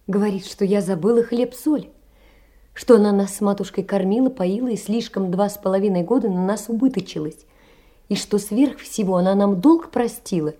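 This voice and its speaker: An actress plays a sickly, poor young woman in a stage drama. Her voice is very dark and soft-edged, and she speaks in an earnest, plaintive way, blaming herself and aching with sorrow. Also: medium pitch, normal speed, close to an everyday voice. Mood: hurt, indignant. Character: sickly, anguished, self-reproaching poor young woman writing letters. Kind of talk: theatrical monologue, letters read as drama.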